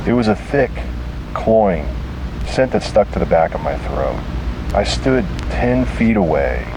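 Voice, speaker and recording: A man's voice: deep voice, noisy background. A man narrating a scripted first-person detective horror story.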